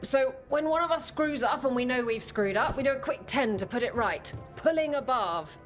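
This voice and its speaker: A woman's voice: sits high, expressive, moderate pace. Swaggering adventurer woman, music behind. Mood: earnest explaining. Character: brash adventurer woman, pirate-like storyteller, loyal crew member. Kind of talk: video game cutscene dialogue.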